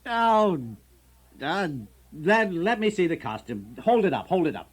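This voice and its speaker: A man's voice: high voice, sing-song, measured pace, full character voice. A man with an American accent playing an indignant, put-upon middle-aged husband who blusters and grumbles, in the style of a 1960s radio comedy sketch. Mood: grudging, flustered.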